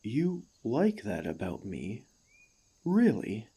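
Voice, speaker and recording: A man playing an emotional, excitable warforged ex-gladiator in an ASMR roleplay, gentle and devoted, with earnest, formal, halting speech. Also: fairly low pitch, vocal fry, slightly rough, heavily acted. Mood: flustered, tender.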